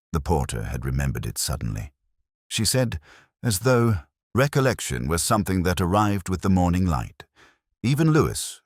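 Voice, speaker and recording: A man with an extremely deep voice narrates a detective story in the first person. His delivery is measured and brooding, with plenty of rise and fall, recorded close and dry. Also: slightly rough, no echo.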